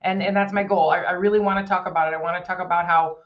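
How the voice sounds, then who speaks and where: A woman's voice: on the low side, fairly even intonation, very fast. Woman, earnest, chatty, frequent restarts, muffled lo-fi audio. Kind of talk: casual chat, promoting a fundraiser.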